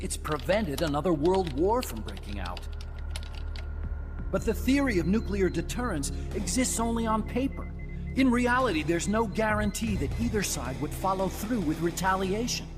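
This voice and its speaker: An actor voicing an earnest, talkative young male engineer scientist who explains things at length in a game cutscene. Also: sits high. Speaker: game character. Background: game score music.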